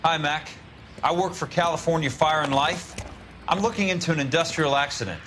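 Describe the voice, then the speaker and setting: A man's voice: on the high side, fairly quick, a bit bright, slight background noise, lightly acted. An actor playing a terse, wry 1940s insurance investigator in a detective game, a man with an American accent and a businesslike manner. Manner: businesslike, dry. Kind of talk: video game detective acting.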